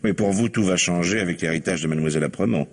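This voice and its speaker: An actor playing a sly, insistent police commissioner in a French radio mystery, probing with irony and pointedly repeating answers. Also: male voice, low-pitched, very fast, breathy edge. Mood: ironic, insistent. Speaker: detective.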